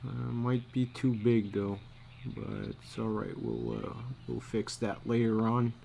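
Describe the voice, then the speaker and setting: A man giving a casual think-aloud commentary while he draws, muttering to himself in short, halting phrases. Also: mid-range voice, level tone, creaky, mellow. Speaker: storyboard artist giving a drawing demonstration. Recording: faint background noise.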